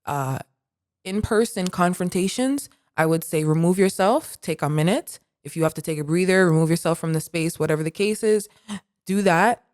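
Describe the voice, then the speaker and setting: A woman co-hosting a podcast who talks in an animated, reflective way, full of "like" fillers. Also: on the low side, moderate pace, no room sound, studio quality. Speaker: podcast co-host. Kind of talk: casual conversation.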